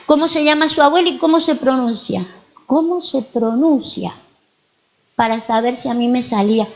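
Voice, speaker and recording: Argentine lady pastor preaching, high voice, keeps asking the church questions, muffled lo-fi sound. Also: moderate pace. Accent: Argentine Spanish. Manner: storytelling with frequent rhetorical questions to the congregation. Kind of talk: sermon.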